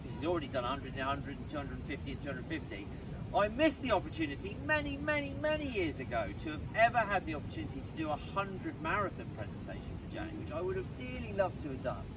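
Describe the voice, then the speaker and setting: An enthusiastic man gives an award speech outdoors. His voice is high and swoops about, with an announcer's energy. He sounds some way off the mic, with loud passing traffic behind him. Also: sing-song, fast, recorded from a distance. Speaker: presenter at a running club award. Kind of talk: outdoor speech to a small crowd. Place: outdoors by a road.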